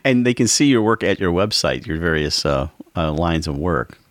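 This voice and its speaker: Deep-voiced male radio co-host. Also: moderate pace, no room sound, clean studio sound. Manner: relaxed, chatty, with filler words. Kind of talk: public radio arts interview.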